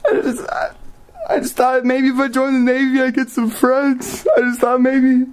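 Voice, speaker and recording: A man's voice: very high-pitched, completely flat delivery, normal speed, clean tone. A young man making a prank phone call in character, stammering and hesitant, sounding naive and distressed. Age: young adult. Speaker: prank caller. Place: small bedroom, indoors.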